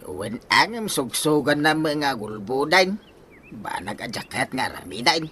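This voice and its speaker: Man playing scolding husband, Filipino accent, very animated pitch, emphatic, comedy radio drama, faint background noise. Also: a little slow. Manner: nagging, emphatic. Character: exasperated, scolding husband of a debtor wife.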